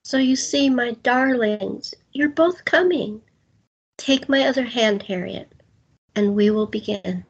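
Woman acting slow, husky, soothing spirit guide. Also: on the high side, heavily acted. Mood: tender, reassuring. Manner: gentle, deliberate, comforting. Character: soothing otherworldly woman guiding souls onward. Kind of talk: fantasy audio drama acting. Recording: dull lo-fi sound.

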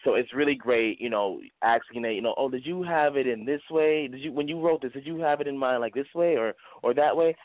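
A man's voice: mid-range voice, brisk, few pauses, no room sound. A man who acts on stage, answering as an interview guest in a casual, appreciative, conversational way. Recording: dry, phone-like band-limited line.

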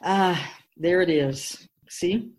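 A woman playing a wise otherworldly spirit in a radio drama, explaining things patiently in a measured, knowing way. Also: fairly low pitch, darkish tone. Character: Keeper of Souls, wise otherworldly spirit in female voice.

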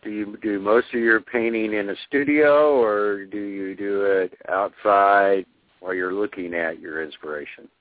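Lower-voiced man hosting on a tinny line. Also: unhurried, no echo. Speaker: radio show host. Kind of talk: scripted intro then interview questions. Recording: tinny phone-like audio with faint music.

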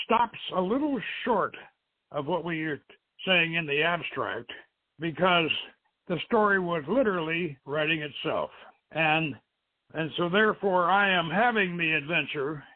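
A man's voice: a little high, a little slow. A man hosting a radio show, introducing and reading aloud an adventure story, on a muffled narrow-band recording. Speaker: show host and novelist reading own story.

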